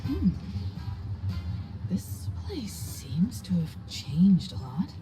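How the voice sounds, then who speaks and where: A woman voicing a calm, wry, worldly traveller in a video game, composed and unhurried. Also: fairly low pitch, full character voice. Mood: wistful. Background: soft game music.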